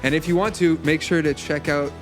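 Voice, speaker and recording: A man's voice: on the high side, fairly even intonation, fairly quick, faint music behind. A young man co-hosting a podcast, talking in a laid-back, friendly way. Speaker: podcast co-host. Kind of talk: casual podcast chat.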